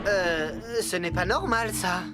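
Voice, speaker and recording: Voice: mid-range voice, takes it slowly, natural voice. A voice actor playing a cautious, sensible worker in a French cartoon dub. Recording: cartoon soundtrack with very loud music.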